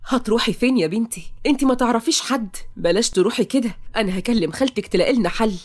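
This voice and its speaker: A worried Egyptian mother who asks her daughter anxious, coaxing questions, as a woman storyteller voices her. She speaks quickly and stays gentle. It sounds like a spoken audio story. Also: on the high side, close to an everyday voice. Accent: Egyptian Arabic.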